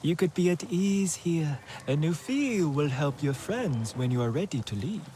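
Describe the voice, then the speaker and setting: Excitable, swooping male alien healer played by an actor. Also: on the high side, slight background noise, natural voice. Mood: wistful, hopeful. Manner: theatrical, halting phrasing. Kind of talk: video game acting.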